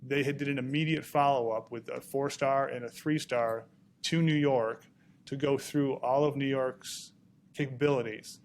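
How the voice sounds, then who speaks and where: A man speaking on a conference panel, halting often, with many restarts and fillers. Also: medium pitch, steady tone, slight background noise. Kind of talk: panel talk. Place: conference room.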